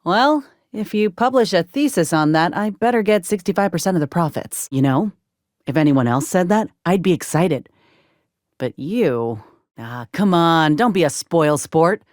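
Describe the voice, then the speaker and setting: A woman's voice: fairly low pitch, expressive, moderate pace, a little mellow, no echo, lightly acted. A woman playing a wry, plainspoken former combat medic in a video game, loyal to her company, with dry, sardonic, folksy phrasing. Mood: teasing.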